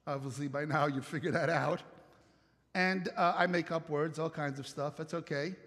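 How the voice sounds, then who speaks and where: A man's voice: a little high, steady tone, normal speed, fairly bright tone. A middle-aged man from Italy with an Italian accent, preaching a conversational sermon from a church stage and asking the congregation lots of questions. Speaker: pastor. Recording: long church hall reverb.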